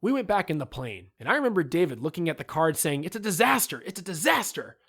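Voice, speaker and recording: A man voicing an animated film director who recalls events with emphatic, dramatic pauses, as in an interview. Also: a little high, breathy edge, full character voice. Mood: animated, rueful. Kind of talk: acted reading of interview quotes.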